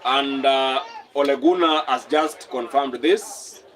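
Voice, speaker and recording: A man's voice: medium pitch, takes it slowly, a bit bright. A young man from Kenya hosting a casual live stream, reading out viewer comments and repeating his phrases as he talks.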